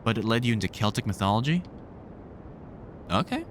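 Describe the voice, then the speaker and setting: Male actor as vampire hunter mentor for audio roleplay: wry, sweary, protective, very animated intonation, slight background rumble. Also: fairly quick, close to an everyday voice. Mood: dry banter. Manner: wry, sweary, exasperated but fond banter. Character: gruff, sardonic British vampire hunter, protective, teasing mentor. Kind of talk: roleplay audio drama addressed to the listener.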